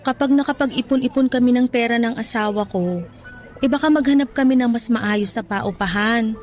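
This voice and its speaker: A woman's voice: on the high side, brisk, darkish tone, faint music behind, close to an everyday voice. An actress voicing a young new mother answering her scolding mother politely and defensively, in a radio drama. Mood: pleading. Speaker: actor.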